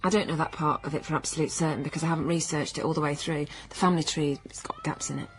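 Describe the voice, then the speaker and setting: A woman's voice: low-pitched, steady tone, quick pace. An actress playing a troubled, stubborn pregnant career woman, earnest and defensive, in naturalistic radio drama. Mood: explaining, defensive.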